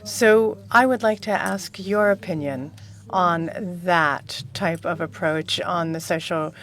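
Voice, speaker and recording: A woman working as a conference interpreter, rendering a panel discussion in a measured, formal first-person voice from a booth microphone. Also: fairly low pitch, a bit bright, a little hiss or hum.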